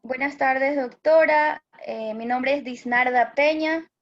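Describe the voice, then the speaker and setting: A woman's voice: sits high, takes it slowly. A young woman, a student politely introducing herself over a video call. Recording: dull video-call audio.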